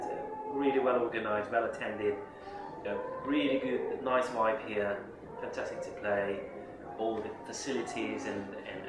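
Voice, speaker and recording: A man from Hungary, speaking English as a second language, answering interview questions at a busy drum fair with enthusiasm and many restarts. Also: fairly low pitch. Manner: enthusiastic, repeats words while searching for them. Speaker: interviewee drummer. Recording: echoey hall from far off, loud crowd noise.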